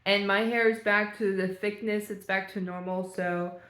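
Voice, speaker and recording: A woman's voice: medium pitch, fairly even intonation, normal speed, fairly bright tone. Chatty young woman in an echoey room. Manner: chatty, thinks aloud, laughs at herself. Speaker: vlogger. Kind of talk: casual talk while reading a quiz aloud.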